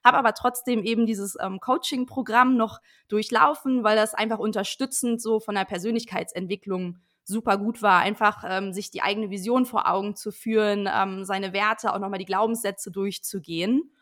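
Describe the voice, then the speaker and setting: A woman's voice: mid-range voice, fairly even intonation, moderate pace, hardly any pauses, a bit bright. A woman in her late twenties, a guest on a podcast, explaining her business in a chatty way with frequent fillers. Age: 20s. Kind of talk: casual interview chat.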